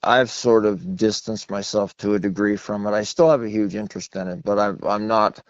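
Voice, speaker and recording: Male interview guest, low voice, long-winded storyteller with a self-deprecating streak, dull dry phone audio. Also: moderate pace, no echo. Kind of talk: rambling phone interview conversation. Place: phone call. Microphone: telephone. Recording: muffled low-fidelity phone line.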